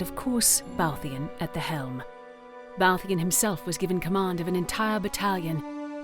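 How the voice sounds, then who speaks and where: A woman playing the solemn storyteller of a fantasy audio drama, narrating in a measured, dramatic way. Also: lowish voice, lightly acted.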